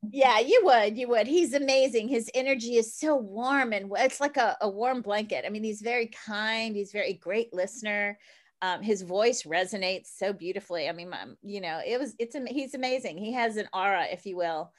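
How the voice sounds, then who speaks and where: A woman's voice: mid-range voice, moderate pace. A woman hosting a casual interview, chatty and gushing, laughing often. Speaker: podcast host.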